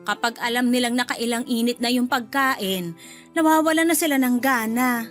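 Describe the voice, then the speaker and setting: Older Filipino mother-in-law, played by a woman, fairly high voice, gracious, chatty, radio drama, music behind. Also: normal speed, close to an everyday voice. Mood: gracious, cheerful.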